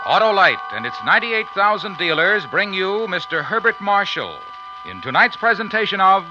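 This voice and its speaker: A man's voice: high-pitched, sing-song, normal speed. A man announcing a 1950s radio show in the formal style of the era. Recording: dull old radio recording with music.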